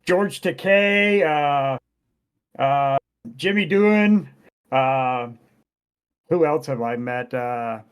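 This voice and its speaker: A middle-aged man with a high-pitched voice hosts a loose, casual chat. He rambles through his stories, full of fillers and quick laughs. He swears freely. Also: lively intonation, clear, resonant tone. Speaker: podcast host.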